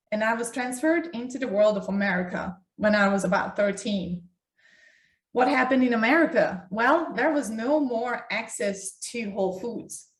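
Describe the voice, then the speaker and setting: A woman from Ukraine, talking deliberately and emphatically as co-host of a conversational online health talk. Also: on the high side. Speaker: doctor co-hosting a health talk. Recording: echoey room, distant mic.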